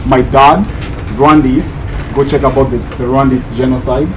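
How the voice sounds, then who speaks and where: A young man from Kenya talking to a high school class, hesitant, with many restarts. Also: flat delivery, normal speed, noisy background. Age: young adult. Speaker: student speaker at a school. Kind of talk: classroom talk.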